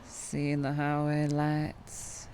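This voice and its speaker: Low, slow Southern vampire trucker woman, acted out by a woman. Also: completely flat delivery, warm tone, slight background noise. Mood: playful, menacing. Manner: slow, teasing drawl with frequent chuckles and lingering pauses. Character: tall, tough vampire trucker woman, playful, teasing, predatory. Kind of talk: ASMR roleplay.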